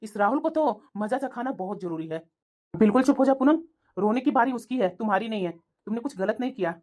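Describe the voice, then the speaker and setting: A woman's voice: on the high side, lively intonation, fairly quick, a little mellow, close to an everyday voice. A woman playing a supportive, resolute young husband in a family melodrama, speaking earnestly and reassuringly. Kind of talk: melodramatic family story dialogue.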